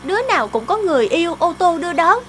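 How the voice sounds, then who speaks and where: High-voiced, pouty young girlfriend. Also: sing-song, fast, a little hiss or hum. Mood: envious sulking. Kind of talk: cartoon dubbing.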